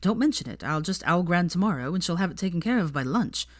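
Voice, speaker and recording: A woman voicing a mild, kindly, slightly awkward young man in an audiobook story, gentle and measured. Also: on the low side, lively intonation, dry recording, clean studio sound. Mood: warm, reassuring. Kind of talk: fan fiction audiobook character voice.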